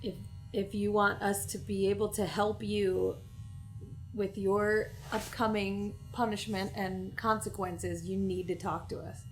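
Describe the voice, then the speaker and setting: A woman playing a stern, disappointed, motherly starship doctor in a tabletop game, lecturing in a firm, measured way. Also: mid-range voice, flat delivery, faint music behind, natural voice, poor audio.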